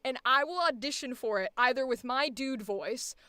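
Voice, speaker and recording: Casting: high, bright woman voice actor, animated and profane chat, dry close sound. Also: moderate pace, clear, resonant tone, no echo. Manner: animated, swears a lot, laughs often. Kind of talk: casual chat.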